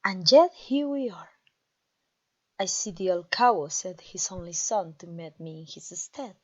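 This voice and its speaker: Taunting, vengeful vampire queen, acted by a woman, deliberate delivery. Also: fairly low pitch, expressive, dull audio. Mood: bitter, mocking. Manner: taunting, theatrical, deliberate with dramatic pauses. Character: vengeful vampire queen, imperious, gloating over a captive prince. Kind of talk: scripted ASMR fantasy roleplay audio drama.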